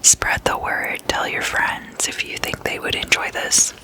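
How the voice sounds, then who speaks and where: An ASMR host whispering in an intimate slumber-party chat, addressing listeners as guests and softly repeating words. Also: unhurried. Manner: repeats single words in soft trailing echoes.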